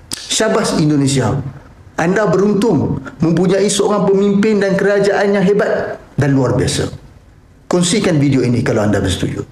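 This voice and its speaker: A man's voice: high-pitched, a little mellow, echoey room, slight background noise, rough recording. A man with a Malaysian accent giving emphatic, critical commentary. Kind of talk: opinion commentary.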